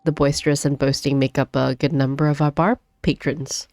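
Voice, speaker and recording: A woman voicing a gentle, wistful older widowed innkeeper, a man in a fantasy game. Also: deep voice, little pitch movement, brisk, voice pushed down. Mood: friendly.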